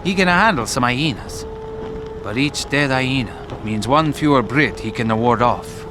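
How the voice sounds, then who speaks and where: Man acting an animated Italian army officer. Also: mid-range voice, measured pace, background noise, close to an everyday voice. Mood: worried, urgent. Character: Italian WW2 officer, worried for his missing brother, wry. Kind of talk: video game cutscene acting.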